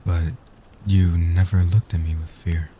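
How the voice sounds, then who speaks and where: A low, soft-spoken immortal vampire guardian, played by a man, in a comfort roleplay audio. He is tender and devoted, speaking slowly and deliberately in a very even, hushed tone. The voice is very dark and warm. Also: slight background noise. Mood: intimate, grateful. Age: young adult. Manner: tender, reassuring, slow and deliberate. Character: gentle, devoted centuries-old male vampire guardian.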